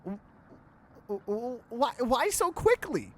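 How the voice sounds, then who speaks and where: A man's voice: extremely high pitch, big pitch swings, slow, fairly bright tone, voice pushed up, full character voice. A man voicing a timid, frightened little guy in a horror visual novel, with a hesitant stammer.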